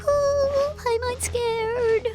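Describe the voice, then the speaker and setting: A woman voicing a small, excitable, easily startled childlike fairy companion in a video game, with a squeaky voice. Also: very slow, music in the background, full character voice. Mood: scared. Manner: speaks of self in third person, exclamatory.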